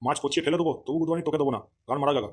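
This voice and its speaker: A man's voice: fairly high pitch, very fast, husky. A man voicing a hard-up fish seller in a comedy cartoon who broods about money and snaps crudely. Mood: angry. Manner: brooding, then crude and snappy. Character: hard-up male fish seller, gloomy and short-tempered. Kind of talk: Bengali comedy cartoon dialogue.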